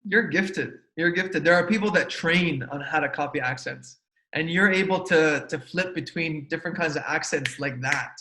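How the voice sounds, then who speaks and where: High-voiced male host in an echoey room. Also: moderate pace, bright, forceful tone. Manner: hesitant, many fillers and repeats. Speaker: interview host. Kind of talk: casual interview chat. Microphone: video call.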